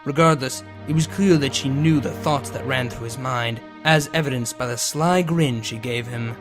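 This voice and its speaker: A man's voice: mid-range voice, moderate pace. A man who reads fan fiction aloud and hosts his own channel. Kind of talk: dramatic scripted story narration, then casual outro. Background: music.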